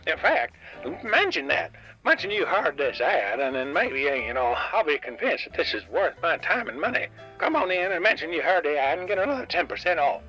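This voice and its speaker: A man's voice: on the high side, big pitch swings, a little fast, bright, forceful tone, lo-fi sound, faint music behind, full character voice. An actor playing a reluctant, folksy diner owner who rambles offhand through his own comedic radio advert. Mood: offhand, rambling.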